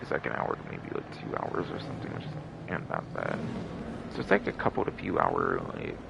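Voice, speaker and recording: A man's voice: a bass voice, very animated, normal speed, creaky, heavy background noise. A man with a tired throat doing casual, rambling game livestream commentary, repeating himself, hedging and swearing casually.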